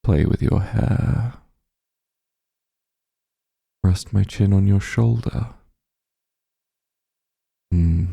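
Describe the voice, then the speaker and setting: A man playing a possessive, doting immortal demon lord in an intimate ASMR roleplay, teasing and smug, speaking softly in a gravelly, breathy voice. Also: low-pitched, dry recording, studio quality, exaggerated voice. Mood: soothing, tender. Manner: slow, soft, teasing coaxing.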